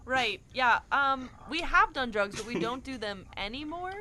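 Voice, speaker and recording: Wry, casual voice over clear noise. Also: high-pitched, expressive, normal speed. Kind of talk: improvised comedy banter.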